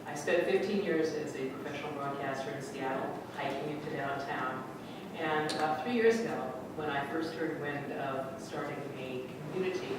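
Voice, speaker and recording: A middle-aged woman speaking for a community radio station during public comment at a council meeting, upbeat and persuasive. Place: council chamber. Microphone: podium mic heard from a distance. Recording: echoey hall, distant mic, noisy rumble.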